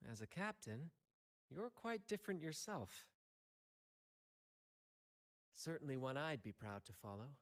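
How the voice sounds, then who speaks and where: A man voicing an idealistic, adventurous ship captain in a video game, speaking in an expressive, theatrical, earnest way. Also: on the high side, moderate pace. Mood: warm, admiring. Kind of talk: theatrical story-game dialogue.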